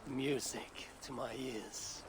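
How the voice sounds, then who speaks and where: An actor playing a terse, imperious Norse god in a video game, solemn and vengeful. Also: male voice, mid-range voice, steady tone, slow pace, noisy background, natural voice. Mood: cool, demanding. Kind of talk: cinematic video game acting.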